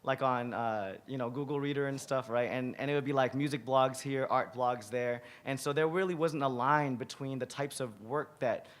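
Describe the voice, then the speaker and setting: A man in his thirties with a hoarse, raspy voice speaks on a conference panel, his tone relaxed and chatty. He restarts often and repeats words, speaking into a table mic in a big hall. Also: mid-range voice, normal speed, few pauses. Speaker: museum curator, panelist. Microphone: table mic on a stand.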